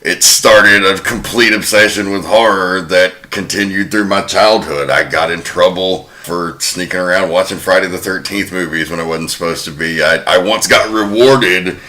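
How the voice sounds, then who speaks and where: Male host, lowish pitch, crisp bright tone, lively and profane. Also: normal speed, hardly any pauses. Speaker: podcast host. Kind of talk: casual podcast chat.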